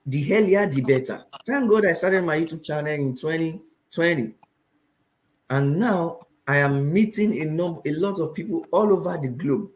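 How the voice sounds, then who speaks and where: Animated Nigerian man, fairly high voice. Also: normal speed, a little hiss or hum. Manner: animated, repeats phrases for emphasis. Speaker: guest, finance teacher. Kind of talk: interview talk.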